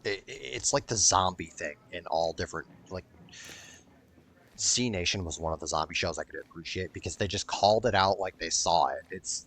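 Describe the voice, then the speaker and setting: A man's voice: low voice, a bit bright. A man giving casual, rambling gameplay commentary in a blunt, sweary way. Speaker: gaming video creator.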